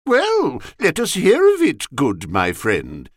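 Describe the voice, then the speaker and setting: Man voicing a hearty, swooping old-fashioned gentleman. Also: sits low, huge pitch swings, slow pace, creaky, dry recording, clean studio sound, pitched below the performer's own voice, full character voice. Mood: hearty, teasing. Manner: hearty, archaic gentlemanly phrasing. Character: jovial, bawdy gentleman inviting company to sit. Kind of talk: audiobook character voice.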